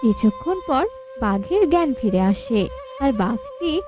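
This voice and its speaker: A woman's voice: sits high, sing-song, measured pace. A woman reading scripted narration for a children's story. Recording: music under the voice. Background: music.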